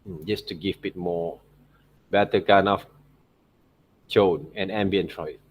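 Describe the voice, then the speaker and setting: A man's voice: sits low, fairly even intonation, unhurried, slightly rough. A man talks through an on-screen tutorial. He sounds like an informal teacher, frequently tagging his remarks with short check-in questions. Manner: checks in often with tag questions like right and okay. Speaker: art teacher.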